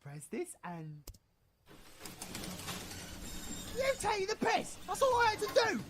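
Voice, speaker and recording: A man's voice: very high-pitched, wildly swooping pitch, moderate pace, heavily acted. A British man playing a foul-mouthed, hot-tempered, sarcastic supervillain in a comic audio roleplay, ranting and exasperated. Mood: furious.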